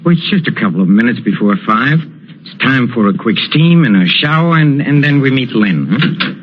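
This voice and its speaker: An actor playing a fawning, obsessive older boxing trainer in a vintage radio drama, wheedling and stammering with a quiet menace. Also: male voice, medium pitch, very animated, normal speed, husky. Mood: soft, sinister. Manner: wheedling, hesitant, stammering, with nervous chuckling. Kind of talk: 1940s radio drama acting. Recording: dull, noisy old radio recording.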